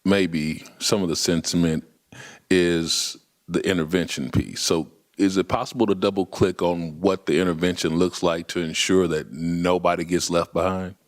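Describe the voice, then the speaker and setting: A man on a school board asking questions casually, repeating words as he goes, with a voice he calls loud. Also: sits low, steady tone, normal speed. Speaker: board member. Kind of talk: board meeting discussion. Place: board meeting room.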